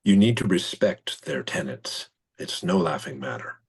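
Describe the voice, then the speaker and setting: Stern clergyman male actor: admonishing, very raspy, fairly low, lively pitch, comedy table read. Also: moderate pace, full character voice.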